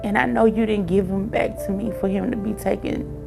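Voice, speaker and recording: A woman's voice: mid-range voice, fairly even intonation, brisk, creaky. A woman giving personal testimony in a news feature interview. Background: music.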